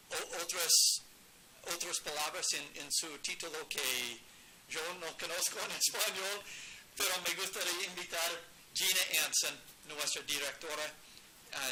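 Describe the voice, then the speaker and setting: A man presenting slowly in a second language he admits is weak, with frequent restarts, standing in a studio set. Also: fairly high pitch, heavy background noise. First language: English. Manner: slow, halting, many restarts. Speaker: company founder presenting. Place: studio set with a photo wall.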